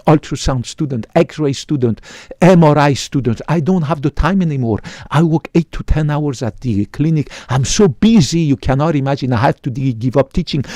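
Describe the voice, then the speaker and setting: A man's voice: fairly high pitch, mellow, dry recording, clean studio sound. A middle-aged man, a physician, lecturing viewers to camera in an emphatic way, often tagging lines with "right?". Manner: emphatic, keeps asking "right?"; repeats words and restarts. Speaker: physician giving health advice.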